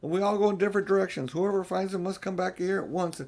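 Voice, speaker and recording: An earnest storybook boy, played by a grown man, with a raised pitch. He is the eldest brother, anxious yet determined, and insists on his point. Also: fairly even intonation, fast talker, gravelly, darkish tone. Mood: decisive. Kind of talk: storybook character voice in an audiobook.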